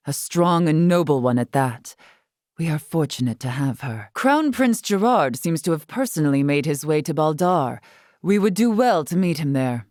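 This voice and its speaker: A woman's voice: low voice, warm tone, dry recording, clean studio sound. An actress playing a composed, regal woman who leads a tribe in a video game, teasing at times, with formal, archaic phrasing. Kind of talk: scripted video game voice acting.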